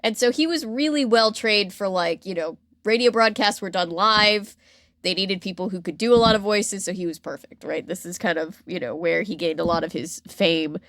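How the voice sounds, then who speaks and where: A woman's voice: mid-range voice, moderate pace, fairly bright tone, no room sound, clean studio sound. A woman hosting a podcast, chatting casually and informally about pop culture history with wry, jokey asides. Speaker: podcast host.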